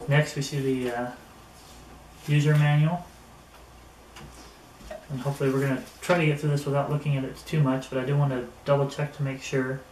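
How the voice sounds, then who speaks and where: Even-toned man reviewing gear, roomy sound. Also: medium pitch, a little fast, long pauses. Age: middle-aged. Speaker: product reviewer. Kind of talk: casual unboxing and gear review, talking to camera. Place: small indoor room at a table. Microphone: camera a few metres away. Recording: roomy, distant camera mic with noticeable noise.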